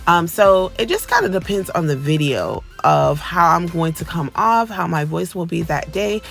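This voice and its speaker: A woman in her thirties talks through a nail tutorial in a relaxed, chatty voiceover. Her voice sits on the low side with a gravelly fry. Soft music plays faintly behind her. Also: normal speed. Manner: chatty, says her voice shifts between soft and excited. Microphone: voiceover mic.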